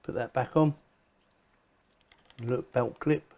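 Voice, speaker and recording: A man's voice: mid-range voice, fairly even intonation, takes it slowly, mellow. A man presenting a casual hands-on gadget unboxing, chatting informally and thinking aloud as he goes. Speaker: video presenter reviewing gadgets.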